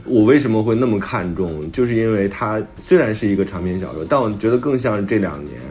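A man's voice: lowish voice, expressive, fast talker, a little mellow, soft background music. A middle-aged man talking casually as a novelist, telling stories and acting out bits of quoted conversation. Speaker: novelist guest discussing own book.

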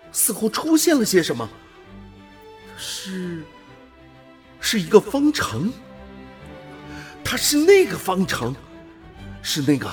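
A man acting in a radio drama as an awestruck, fervent explorer turned alien creature, with halting, theatrical wonder. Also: high-pitched, heavily acted. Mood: stunned.

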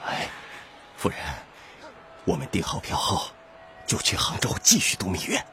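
Jittery, animated hunted husband. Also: male voice, fairly low pitch, normal speed, vocal fry, crowd noise, exaggerated voice. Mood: placating, weary. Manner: urgent, theatrical, jittery. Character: nervous, self-protective husband, a hunted 1920s conspirator. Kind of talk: Chinese radio drama acting.

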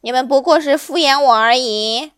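A homesick storybook monkey, acted by a woman, chatters on and complains. The voice is high and plaintive, swinging up and down, with a dry close sound. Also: lively intonation, moderate pace, no echo. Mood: pleading. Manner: rambling, plaintive, exclamatory. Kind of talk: children's storybook character voice.

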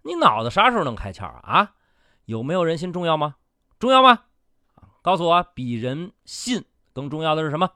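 A man's voice: fairly high pitch, very animated, a little fast, bright, forceful tone, no echo, clean studio sound. A man playing a bullying, cynical media boss in a radio drama, domineering and condescending, swearing and badgering with questions. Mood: angry, badgering.

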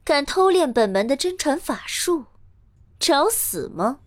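Casting: menacing young-sounding monster disciple, high lively voice, fantasy audio drama, indignant. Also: female voice, a little slow. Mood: indignant threat. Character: indignant beast-monster sect disciple, threatening. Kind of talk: dramatized audio novel acting.

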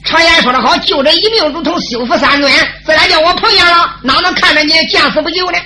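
A woman's voice: very high voice, fast, very noisy, pitched above the performer's own voice. A woman voicing a young male general begging for rescue, stammering and pleading. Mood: eager.

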